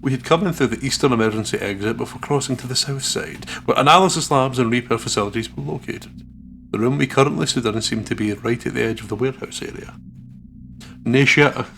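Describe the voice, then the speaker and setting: A man's voice: mid-range voice, a little fast, vocal fry, fairly bright tone, slight background noise. A man narrating a visual novel aloud as a let's player, with playful, casual and sweary commentary.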